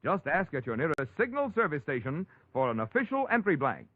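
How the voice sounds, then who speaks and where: A man's voice: on the high side, very animated, a little fast, no echo. A man with an American accent reading scripted sponsor messages and credits in the style of a 1940s radio announcer. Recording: tinny vintage radio recording with music. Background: music.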